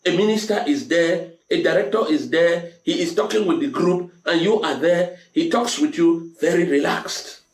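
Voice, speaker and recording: A man's voice: fairly high pitch, normal speed, few pauses. A man with a Cameroonian accent hosting a motivational advice talk, speaking directly to listeners in an emphatic, avuncular way and repeating key phrases. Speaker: storytelling show host giving advice. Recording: echoey room with music underneath. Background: music.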